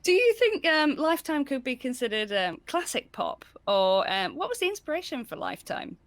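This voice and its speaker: A woman's voice: high-pitched, very animated, moderate pace, no room sound. A woman co-hosting an interview, bubbly and effusive with her guest. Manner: bubbly, effusive, laughs easily. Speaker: co-host.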